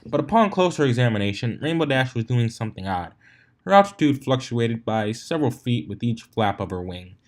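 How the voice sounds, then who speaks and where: A man narrates a piece of fan fiction. His voice sits at an average male pitch as he reads the story aloud. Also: big pitch swings, a little fast.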